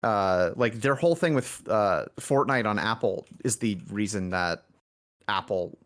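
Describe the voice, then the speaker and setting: A man with a fairly low voice talks casually on a podcast. He speaks as an indie developer, wandering through his points and rephrasing himself as he goes. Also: unhurried. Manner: rambling, self-correcting.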